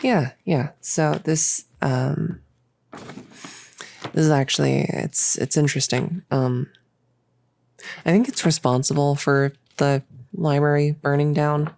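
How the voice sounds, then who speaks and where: A woman's voice: rumbling low, vocal fry, darkish tone, exaggerated voice. A young woman playing a bossy, giddy, possessive cultist in an intimate roleplay audio, rambling in a casual, smug, playful way. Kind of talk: intimate roleplay audio, improvised-sounding monologue.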